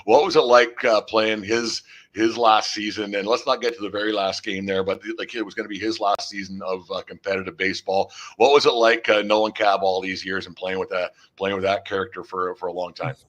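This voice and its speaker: A man's voice: fairly low pitch, flat delivery, fairly quick, hardly any pauses, fairly bright tone. A man hosting a casual sports interview, chatty and rambling as he circles his way into questions. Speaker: podcast host and interviewer.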